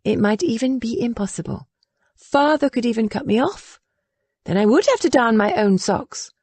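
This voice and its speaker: Young noblewoman, spirited and yearning, voiced by a female narrator, high emphatic lines, mellow tone. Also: expressive, moderate pace, no room sound. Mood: earnest. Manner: emphatic, rising and falling sharply.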